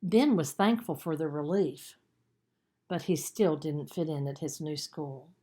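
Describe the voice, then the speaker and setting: A woman narrating a children's book as its author, in an expressive storytelling manner with deliberate emphasis. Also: deep voice. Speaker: author reading own book.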